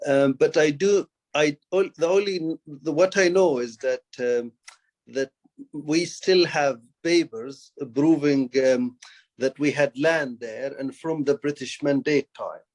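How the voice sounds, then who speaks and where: Man on a panel, halting, keeps restarting. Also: fairly high pitch, darkish tone, rough recording. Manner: halting, searching for words. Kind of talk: panel discussion.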